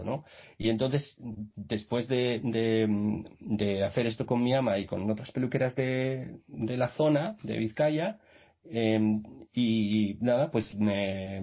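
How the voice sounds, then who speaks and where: A man's voice: mid-range voice, breathy edge. A man, a radio guest telling his story in a rambling, casual way with many restarts. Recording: muffled video-call audio.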